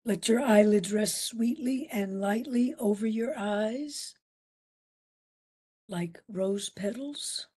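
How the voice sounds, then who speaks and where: Female meditation instructor, very unhurried, mellow and slightly husky, soothing delivery with spacious pauses. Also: mid-range voice. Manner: soothing, unhurried, with long pauses between short phrases. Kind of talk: guided meditation.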